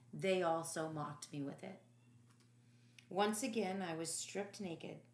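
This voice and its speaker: A woman reading dramatic first-person audiobook narration in a solemn, deliberate, emphatic way. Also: lowish voice. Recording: echoey room.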